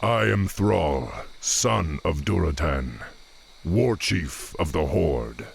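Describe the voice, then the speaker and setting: A man voicing a stern, noble orc war chief in a game cinematic, husky and gravelly, with formal delivery. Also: a bass voice, expressive, slow, full character voice. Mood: solemn. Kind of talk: video game cinematic acting.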